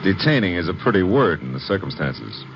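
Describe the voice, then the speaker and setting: Low, lively wisecracking gumshoe. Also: male voice, a little fast. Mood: flippant. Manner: flippant, dry wisecracks. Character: wisecracking, cocky private detective's legman. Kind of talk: 1940s-50s radio detective acting. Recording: muffled vintage radio recording, faint hiss.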